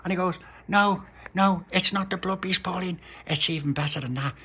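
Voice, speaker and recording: A man with a Liverpool accent voicing an eager little boy who stammers and gushes with excitement. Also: sits high, normal speed, slightly rough, pitched above the performer's own voice, exaggerated voice. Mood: excited.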